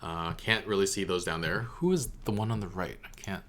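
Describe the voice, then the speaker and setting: A man's voice: low voice, fairly quick, a bit bright, slight background noise. A man hosting a reaction video, giving casual, rambling, amused commentary.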